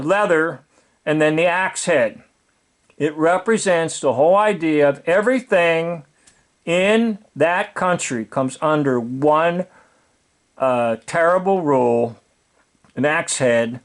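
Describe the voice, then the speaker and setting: Older American man, informal opinionated commentator. Sardonic, rhetorical, unhurried delivery, slightly high register.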